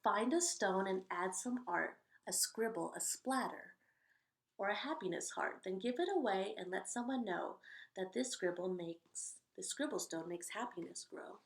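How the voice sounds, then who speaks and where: A woman's voice: medium pitch, normal speed. Woman reading a children's picture book aloud. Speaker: children's story read-aloud host. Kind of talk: picture book read-aloud with casual asides.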